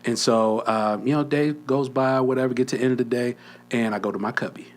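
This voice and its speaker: A man's voice: medium pitch, fairly even intonation, a little fast. A young man co-hosting a podcast, telling a story in a casual chat, often dropping to a near whisper and laughing. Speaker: podcast co-host.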